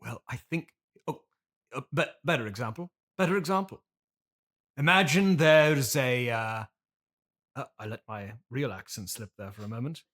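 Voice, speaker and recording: A man's voice: medium pitch, a bit bright, lightly acted. A man playing a pompous, earnest stage actor with a Received Pronunciation British accent, speaking theatrically and grandly as the guest in an improvised comedy interview. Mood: earnest, explaining. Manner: theatrical, grandiose, drawn-out declamation. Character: pompous classically trained stage actor, earnest and self-important.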